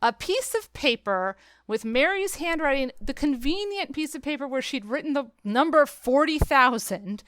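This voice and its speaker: A woman's voice: high voice, lively intonation, moderate pace, hardly any pauses, a bit bright, slight background noise. A woman chatting casually and opinionatedly about film, emphatic and exasperated, as a podcast co-host. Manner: emphatic, exasperated ranting with acted-out quotes.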